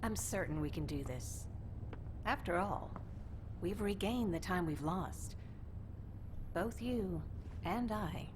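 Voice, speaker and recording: A woman playing an earnest, caring soldier in a video game, speaking in a sincere, gentle manner. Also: fairly low pitch, animated, takes it slowly, long pauses, background noise. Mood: reassuring. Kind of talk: video game cutscene dialogue acting.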